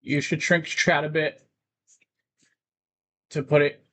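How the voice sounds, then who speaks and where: A man doing casual livestream commentary on a game, reading on-screen text aloud and halting to break into asides. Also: on the high side, flat delivery, bright, forceful tone, low-quality recording.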